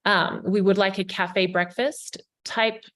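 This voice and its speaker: A woman's voice: mid-range voice, steady tone, takes it slowly, a bit bright. A woman in her forties with an Australian accent, a developer giving an upbeat, informal presentation to a town council over a video call, with plenty of ums. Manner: upbeat, selling, frequent ums.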